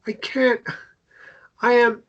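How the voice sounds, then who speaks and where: An actor playing a guilt-ridden married man in a drama table read, speaking in a halting, self-loathing way. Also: extremely high pitch, flat delivery, fairly bright tone, lo-fi sound, a little hiss or hum, exaggerated voice. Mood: anguished. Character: guilt-ridden married man, caregiver to his ailing wife.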